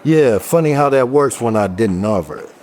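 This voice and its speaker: An actor playing a weary, gruff police detective in a horror audio drama, with a wry, guarded, commanding manner. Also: male voice, mid-range voice, expressive, normal speed, darkish tone, slight background noise, close to an everyday voice. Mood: brusque, official.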